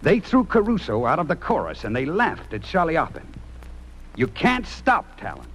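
A man's voice: medium pitch, a bit bright. An American man acting in an old-time radio drama, playing a stern, impassioned talent judge with earnest, theatrical speechifying. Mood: fervent. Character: stern, impassioned male music talent judge, remorseful underneath. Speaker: actor. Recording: noisy old radio recording.

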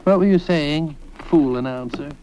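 A man's voice: a little high, normal speed, warm tone, lightly acted. A man voicing a bossy, snippy mother in a radio comedy parody, scolding on a muffled, noisy old recording. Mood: testy, scolding. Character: testy, bossy middle-aged mother in comic soap parody.

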